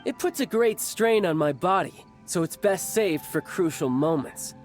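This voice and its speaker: A man voices a brash young commander who lives for a fight, his voice lively and rising and falling as he teases and rallies his troops. Soft game music plays behind him. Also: fairly high pitch, natural voice. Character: cocky young male military commander, playful, battle-hungry. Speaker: Harbinger commanding recruits. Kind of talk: game dialogue voice acting.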